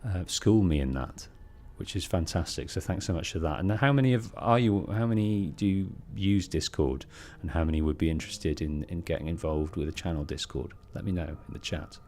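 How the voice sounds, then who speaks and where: A British man with a deep, bassy voice giving measured, polite storytelling commentary as he streams a game, close on the microphone. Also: a little mellow, slight background noise. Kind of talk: let's play commentary, storytelling. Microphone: close bass-heavy streaming microphone.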